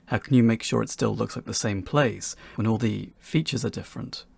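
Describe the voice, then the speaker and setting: A man's voice: on the low side, brisk, gravelly, breathy edge. A man reading a scripted instructional talk for beginners as its presenter.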